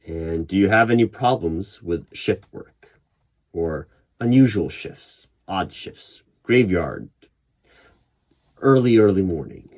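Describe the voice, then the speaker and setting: A man playing a human resources interviewer in an ASMR roleplay, speaking in a measured, probing way with deliberate pauses. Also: low-pitched, lively intonation, darkish tone. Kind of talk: ASMR roleplay, one-sided job interview. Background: computer keyboard typing.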